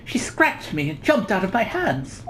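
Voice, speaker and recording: Young Russian woman acted by a woman, game character, anxious and confessional, Russian accent, animated intonation. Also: mid-range voice, moderate pace, background noise. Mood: anxious, explaining. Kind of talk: reading game dialogue aloud in character.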